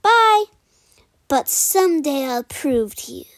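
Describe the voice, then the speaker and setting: A woman voicing a small, rude and boastful male muskrat with a squeaky, taunting voice. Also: sing-song, slow, no echo, exaggerated voice.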